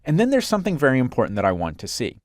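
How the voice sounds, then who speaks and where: A man working as an instructor, narrating a software screencast in a clear, step-by-step explanatory way. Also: medium pitch, wildly swooping pitch, rapid-fire, no room sound, clean studio sound.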